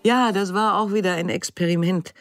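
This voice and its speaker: A woman playing a reflective artist who recalls her life and work in a measured way in an audio drama. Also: lowish voice, music in the background, lightly acted. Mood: reminiscing.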